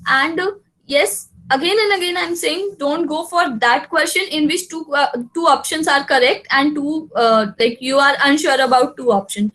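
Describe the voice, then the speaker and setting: A woman's voice: sits high, normal speed, fairly bright tone, poor audio. A woman with an Indian English accent teaching a live online class over a video call, reassuring students and switching between English and Hindi. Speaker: exam prep teacher.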